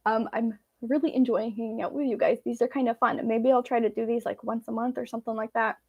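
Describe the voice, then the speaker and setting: A woman's voice: fairly high pitch, fairly even intonation, fast talker, highs cut off. A woman from Belarus, a native Russian speaker, chatting casually and informally with viewers as she hosts a live Q&A. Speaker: vlog host.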